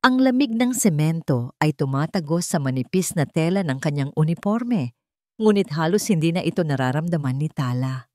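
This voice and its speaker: Low, mellow Filipino woman narrating dramatically. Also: animated, moderate pace, no echo, studio quality. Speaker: storyteller, narrator. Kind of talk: dramatic scripted story narration.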